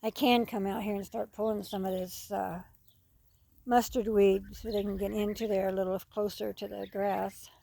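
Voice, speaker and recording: Folksy woman with a creaky, mellow voice. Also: mid-range voice, level tone, moderate pace. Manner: folksy, rambling, repeats herself. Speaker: farm vlogger. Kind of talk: casual unscripted narration while filming animals. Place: outdoors on a farm, among poultry.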